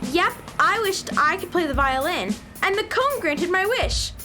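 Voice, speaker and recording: A woman's voice: very high voice, big pitch swings, unhurried, over music, exaggerated voice. A woman playing a cheeky, self-satisfied little schoolgirl in a children's radio drama, pert and matter-of-fact. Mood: smug.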